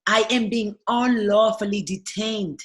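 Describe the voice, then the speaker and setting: A woman's voice: mid-range voice, fairly even intonation, a little slow, close to an everyday voice. A woman playing an imperious, indignant fairy-tale prisoner who speaks formally and insistently in a radio play. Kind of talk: irreverent radio play acting.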